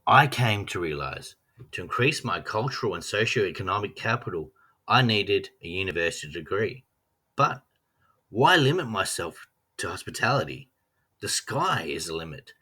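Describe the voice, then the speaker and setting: An Australian man narrates a prepared personal statement in an earnest, measured read. His voice sits a little low but has a bright, forceful edge. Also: very animated, breathy edge. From Western Australia. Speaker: trainee teacher.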